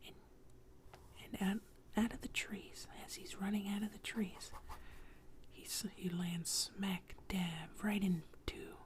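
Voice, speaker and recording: A woman telling an ASMR bedtime story in a hushed, breathy whisper, close to the microphone. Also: mid-range voice, fairly even intonation, slow.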